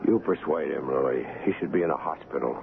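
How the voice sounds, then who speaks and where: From a noisy old radio broadcast, a doubting ship's doctor. His low voice moves expressively with a gravelly edge, earnest and concerned. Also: fast, narrow, tinny audio. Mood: concerned, baffled. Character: skeptical, concerned young ship's doctor, recalling his voyage. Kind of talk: radio drama acting with first-person narration.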